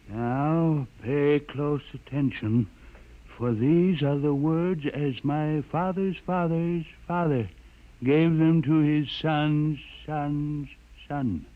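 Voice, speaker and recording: A man's voice: a little high, slow, pauses often. An actor playing a pompous old holy man, keeper of sacred lore, with solemn oratorical delivery in 1950s radio drama style. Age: older. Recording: tinny 1950 radio recording, music behind.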